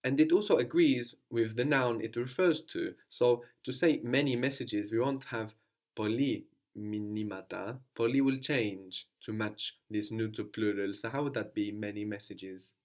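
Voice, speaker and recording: A man's voice: fairly low pitch, normal speed, darkish tone. A man teaching a language lesson, patiently prompting his student with questions and repeating the answers back.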